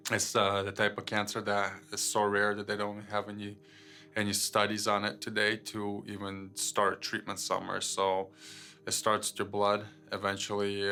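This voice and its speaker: A young man from Ukraine tells his story in a bright, forceful voice that stays low and very flat in pitch. He talks haltingly, full of fillers and restarts. Faint music plays underneath. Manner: halting, many fillers and repeated words. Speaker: guest sharing his testimony. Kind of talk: personal testimony, unscripted storytelling.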